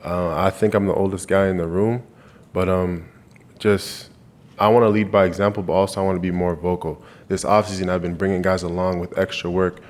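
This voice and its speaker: A man's voice: low voice, flat delivery, a little fast, a little mellow. A young man from Canada answering press questions earnestly, often restarting his phrases. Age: 20s. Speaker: college football player. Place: media day press room.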